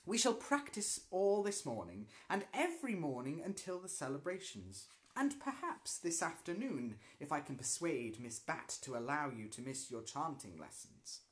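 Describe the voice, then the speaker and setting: A man's voice: on the high side, very animated, moderate pace, few pauses. A man voicing a stern, icy woman teacher at a witch school, speaking in a clipped, commanding way in a storybook reading. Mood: strict.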